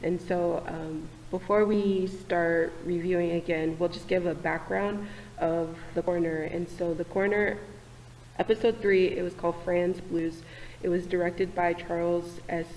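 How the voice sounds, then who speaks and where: A woman hosting a casual panel discussion in a church meeting room, talking in a relaxed, hesitant way with many fillers. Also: on the low side, a little slow, in a large hall, slight background noise, rough recording. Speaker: host.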